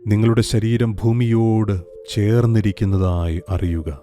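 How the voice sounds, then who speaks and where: A man leads a guided meditation in a very low, mellow voice, speaking slowly with long pauses between soft instructions. Gentle music and a singing bowl sit faintly behind him. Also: steady tone.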